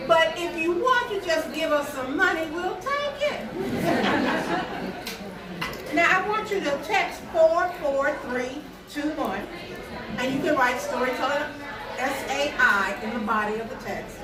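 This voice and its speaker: A woman's voice: high voice, animated, a little slow, very noisy. A woman emceeing a storytelling night, chatty and teasing as she talks to the audience. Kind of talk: joking live emcee patter. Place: bar venue with a live audience. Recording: roomy echo from a distant mic with loud crowd.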